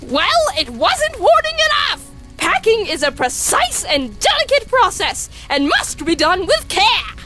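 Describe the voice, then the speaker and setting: A woman voicing an earnest, fussy young male skeleton in a comic dub, loud, with emphatic, formal diction. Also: very animated, takes it slowly, exaggerated voice. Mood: indignant, loud. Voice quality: loud, high-pitched. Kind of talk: animated comic dub acting. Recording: music behind the voice.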